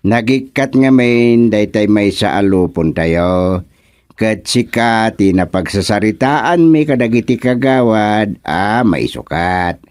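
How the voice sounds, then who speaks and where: A man playing a stern, authoritative village chairman with a deliberate, commanding manner in an Ilocano radio comedy drama. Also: lowish voice, animated, a little mellow, dry recording. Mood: pleased, official.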